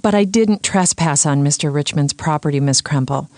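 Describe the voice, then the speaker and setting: A woman's voice: deep voice, normal speed, darkish tone, no room sound, clean studio sound, natural voice. A woman voicing an earnest, literal-minded young female defendant in a cartoon comedy. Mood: earnest, worried. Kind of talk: animated comedy dialogue.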